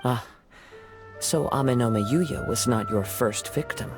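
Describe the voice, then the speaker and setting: An actor voicing a calm, perceptive young swordsman who speaks in a measured, analytical way. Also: mid-range voice, music in the background. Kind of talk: game voice acting. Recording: game soundtrack under voice.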